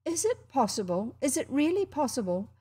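A woman's voice: high-pitched, sing-song, normal speed, warm tone, husky, voice pushed up, heavily acted. A woman voicing a frightened, blind old grandmother who exclaims in a trembling voice. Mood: overjoyed.

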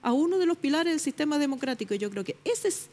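A middle-aged woman journalist arguing her point on a television panel debate, emphatic and pausing often with fillers, recorded on a lapel mic in a studio. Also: high voice, animated, darkish tone. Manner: emphatic, searching for words with many fillers.

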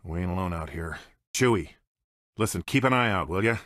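A man's voice: sits low, lively intonation, brisk, a bit bright, dry recording, clean studio sound. A man playing a brash, wry smuggler pilot with an American accent and a casual, wisecracking manner, in short video game lines. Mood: teasing, wary. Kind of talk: video game character voice clips.

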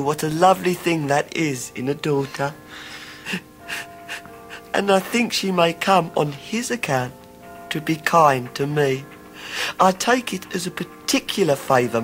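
A man's voice: a little high, a bit bright, low-quality recording. A man playing a falsely humble, scheming young clerk in a radio drama, with an obsequious, self-abasing manner. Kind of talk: 1990s radio drama acting.

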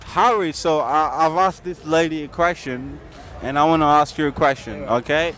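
A man's voice: a little high, moderate pace, fairly bright tone. A man doing street interviews at night, playful and repeating his questions. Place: busy city street at night.

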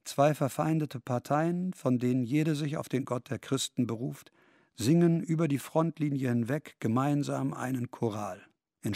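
A man's voice: mid-range voice, dark tone, no room sound, studio quality. A man reading scripted, essayistic radio narration.

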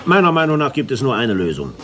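Commanding, animated ex-colonel played by an actor. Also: male voice, medium pitch, fast talker, natural voice. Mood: jovial. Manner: officious, military, jovially commanding. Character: Hans Stapf, jovial commanding ex-colonel turned police inspector. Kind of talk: radio play acting. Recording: music behind the voice.

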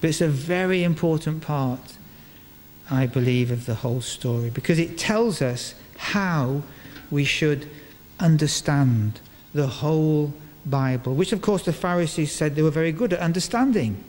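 An older man giving a sermon in a large church, speaking into a stand microphone in measured phrases with deliberate pauses. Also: a little high, lively intonation, a little mellow. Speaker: preacher. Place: large stone church, indoors, before a congregation. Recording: reverberant church hall, clear background noise.

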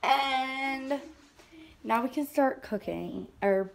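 A woman's voice: sits high, drawn-out words, creaky, very noisy. A young woman rambling casually and mocking herself while baking in her home kitchen. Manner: chatty, self-mocking, rambling. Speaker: vlogger. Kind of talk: casual vlog narration.

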